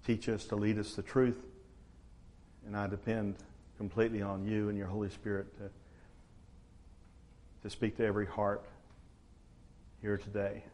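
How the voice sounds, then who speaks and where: Low-voiced pastor. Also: male voice, darkish tone. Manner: conversational, unhurried, frequent uh fillers. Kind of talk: sermon. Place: church.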